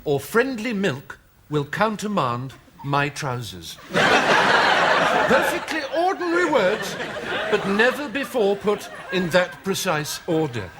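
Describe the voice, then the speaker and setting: An actor playing a pompous, rambling middle-aged pundit who talks about language in florid, grandiose phrases. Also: male voice, high voice, very animated, slow pace, a loud crowd, heavy background noise, exaggerated voice. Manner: florid, grandiose, self-delighted. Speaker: sketch comedy character. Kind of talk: studio sketch comedy.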